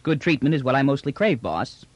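Audiobook role: brash prisoner trader, voiced by a man, colloquial and bland-faced cheek, lively swings in pitch. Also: brisk, no room sound. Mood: cheeky, bland. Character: brash, unruffled bearded male space trader prisoner, slangy. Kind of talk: audiobook character dialogue.

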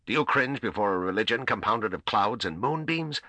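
A man's voice: very deep voice, steady tone, fast, sharp tone, dry recording, full character voice. A man voicing an arrogant, hot-headed prince and admiral in a sci-fi audiobook, imperious, indignant and blustering. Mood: shrieking fury. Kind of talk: dramatic audiobook character voice.

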